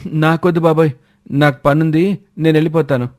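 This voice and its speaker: A man's voice: on the high side, flat delivery, fairly quick, a little mellow, pitched above the performer's own voice, close to an everyday voice. A man voicing an earnest, cheeky young adult son in a cartoon moral story. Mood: playful alarm.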